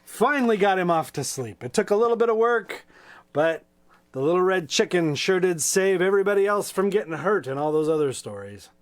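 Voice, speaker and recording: A man reading children's stories aloud in a playful, folksy, expressive way, with casual asides. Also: high-pitched, a little fast. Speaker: storytime reader.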